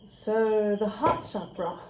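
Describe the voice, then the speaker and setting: A woman's voice: mid-range voice, lively intonation, slow, slight background noise. Chatty woman in an echoey room. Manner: candid and chatty, swears freely, drops into whispered asides. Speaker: tarot reader. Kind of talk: casual spoken tarot reading. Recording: roomy echo, faint crickets.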